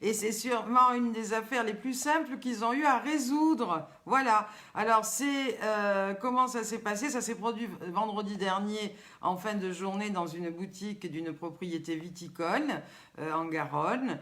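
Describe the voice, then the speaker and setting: An older woman with a warm Southern French accent runs a live show for her viewers. She talks in a lively, teasing way, posing riddles and calling out to people by name. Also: medium pitch, a little slow. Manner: lively, teasing, chatty, reads viewers' comments aloud. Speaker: host. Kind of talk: live-stream chat with viewers.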